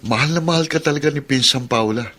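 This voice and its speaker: A man's voice: a little high, quick pace, pushed and bright, a little hiss or hum. A man playing a teasing yet thoughtful older cousin in a Filipino radio drama.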